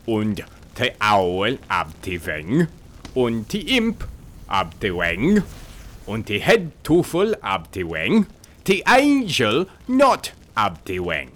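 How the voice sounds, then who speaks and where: A man's voice: lowish voice, sharp tone, slight background noise, full character voice. A man voicing a quarrelsome male spirit with a heavy German accent, speaking broken, bossy English in a storybook reading. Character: gruff insolent male spirit, squat and quarrelsome. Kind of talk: audiobook character voice.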